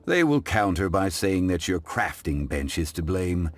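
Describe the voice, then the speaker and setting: An actor playing a calm, refined gentleman connoisseur in a video game, speaking in a formal, measured, lecturing way. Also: sits low, soft background music. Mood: calm, instructive. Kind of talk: English-dubbed video game acting.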